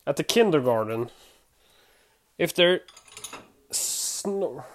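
A podcast host from Sweden, a native Swedish speaker with a Swedish accent, talking casually in English and often pausing to search for words. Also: mid-range voice, lively intonation.

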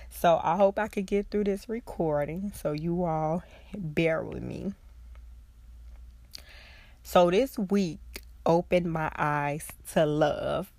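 A woman's voice: on the low side, a little mellow, no room sound. A young woman hosting a casual solo podcast, congested from a cold, talking in an earnest, heartfelt way. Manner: earnest, heartfelt, slow and reflective. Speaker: podcast host, social worker.

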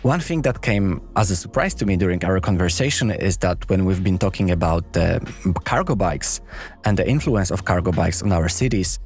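A man's voice: sits low, lively intonation, moderate pace, darkish tone, soft background music. A man hosting a podcast, speaking in an earnest, careful and slightly formal way as he introduces and interviews.

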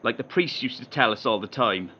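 An actor playing a bitter, defensive young street pimp in an audio drama, rough and aggrieved in his speech. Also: medium pitch, a little fast, pushed and bright, lo-fi sound. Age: young adult.

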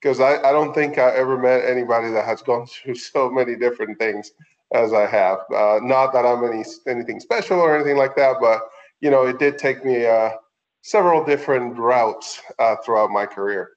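A middle-aged man with a Puerto Rican accent, a native Spanish speaker, talking conversationally as an interview guest with halting phrasing. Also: mid-range voice, muffled recording. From Puerto Rico. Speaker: veterinarian, podcast guest.

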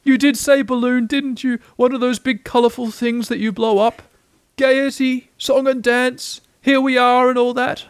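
A man's voice: very high voice, flat delivery, measured pace, clear, resonant tone, no room sound, pitched above the performer's own voice. A man voicing a gloomy, self-pitying old donkey in a storybook, with a mournful, ponderous, repetitive way of talking. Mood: wistful.

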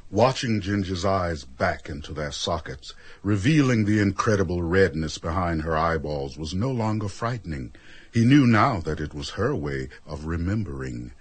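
A man's voice: sits low, lively intonation, normal speed, breathy edge. A man reading dramatic scripted narration for a radio play.

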